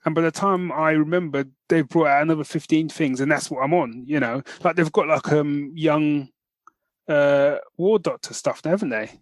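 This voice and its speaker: A man's voice: a little high, moderate pace, no echo. A man co-hosting a podcast, chatting casually and colloquially about geek news, often tagging his points with questions. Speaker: podcast co-host.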